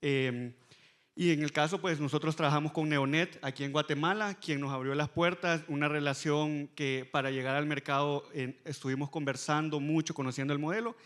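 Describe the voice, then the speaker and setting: A man's voice: fairly high pitch, steady tone, normal speed, fairly bright tone. A Salvadoran man speaking as a fintech panelist on a conference stage, answering frankly and with some self-deprecation.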